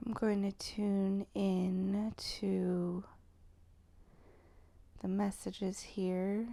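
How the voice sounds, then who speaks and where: A woman leading an improvised guided meditation, speaking softly and haltingly with pauses, often close to a whisper. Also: mid-range voice, level tone, vocal fry. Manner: slow, hushed, halting delivery with pauses.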